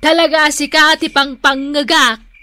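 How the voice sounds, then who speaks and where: Practical village wife, Ilocano accent, nagging, emphatic, high sharp voice. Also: female voice, level tone, unhurried, no echo. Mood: chiding. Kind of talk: Ilocano radio comedy-drama acting.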